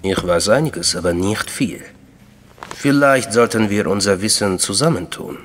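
An actor playing an enigmatic Russian official in a crime radio drama, courteous, deliberate and coolly menacing. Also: male voice, on the low side, fairly bright tone, big-room sound. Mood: coolly confessional.

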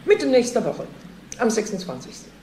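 An actress playing a curt, unforthcoming notary's clerk in a radio crime drama. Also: female voice, a little high, huge pitch swings, moderate pace, dark tone. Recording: roomy, faint noise.

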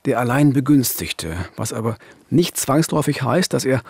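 Husky man presenting true crime. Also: medium pitch, normal speed, a little mellow, no room sound. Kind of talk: conversational scripted crime narration. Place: indoor radio studio. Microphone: studio boom microphone.

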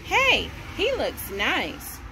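A woman's voice: sits high, wildly swooping pitch, extremely slow, creaky, bright, forceful tone, slight background noise, heavily acted, poor audio. A woman voicing an eager, friendly little storybook chimp with an exclamatory manner.

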